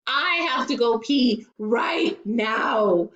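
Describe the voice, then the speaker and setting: A woman voicing a whiny, stubborn little boy in a storybook, with drawn-out yelling. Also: on the high side, lively intonation, heavily acted. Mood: urgent whining. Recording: echoey, dull-sounding room recording.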